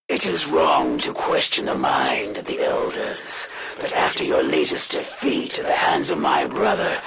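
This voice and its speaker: A woman playing a proud, menacing female alien assassin in a video game, speaking in a formal, haughty, deliberate way with breathy phrase ends. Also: low-pitched, a bit bright, full character voice. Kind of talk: scripted video game villain monologue.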